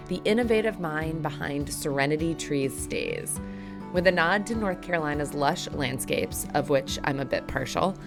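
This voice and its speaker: A woman with a low, deep voice hosting a podcast. She reads her intro smoothly and then moves into lively, curious questions. Music plays clearly behind her. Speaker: podcast host. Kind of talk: scripted intro, then conversational interviewing.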